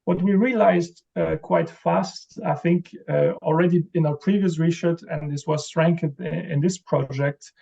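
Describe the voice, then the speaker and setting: Gravelly, mellow-voiced man presenting. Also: fairly high pitch, steady tone, unhurried, few pauses, muffled recording. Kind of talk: academic lecture.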